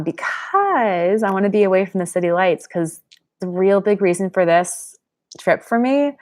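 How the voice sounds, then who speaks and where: A young woman from Pennsylvania hosting a live-stream Q&A, chatting casually and reading viewer comments aloud. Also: on the low side. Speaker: channel host.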